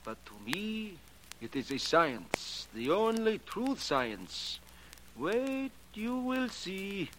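A man's voice: high-pitched, sing-song, slow, heavily acted. A man playing a humble, earnest foreign janitor who believes in astrology, speaking formal English in a 1940s radio drama. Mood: ominous warning. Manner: formal uncontracted English with ominous pronouncements. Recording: noisy vintage 1940s radio recording.

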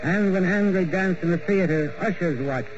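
A man narrating a story in measured, literary style for a 1940s radio drama. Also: high voice. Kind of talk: scripted narration. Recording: muffled old radio broadcast with hiss.

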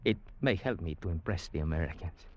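An actor playing a sly, courtly Cuban schemer in an old radio drama, with a Cuban Spanish accent and florid, unctuous politeness. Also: male voice, sits low, animated, quick pace. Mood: smooth, obsequious. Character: sly, courtly, talkative Cuban schemer, a small-time rogue. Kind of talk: 1940s-50s radio drama acting and first-person narration. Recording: muffled old radio broadcast.

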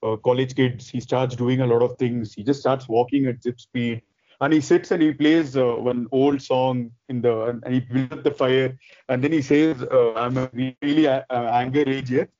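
A man chatting as a podcast host, loud and excited, rambling with restarts. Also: medium pitch, normal speed, no room sound, dull audio. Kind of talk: casual chat.

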